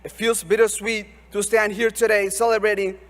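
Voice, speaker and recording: A young man speaks in a high voice, earnest and measured, as a student speaker. His voice carries a long reverb from a large hall. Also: flat delivery, fairly bright tone. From Oromia, Ethiopia. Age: young adult. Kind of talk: prepared commencement speech.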